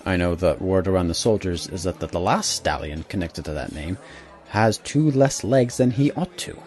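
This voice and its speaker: A man playing a cautious, loyal stallion ambassador in an audio drama, measured and wary. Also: low-pitched, animated, a little mellow, soft background music.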